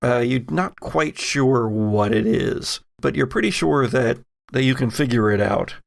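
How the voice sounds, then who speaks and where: A man with a creaky, gravelly edge runs a tabletop game. He tells the story slowly and dramatically, then slips into casual asides as game master. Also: medium pitch, lively intonation. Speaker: game master of an actual-play podcast. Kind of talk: narrating a tabletop roleplaying game.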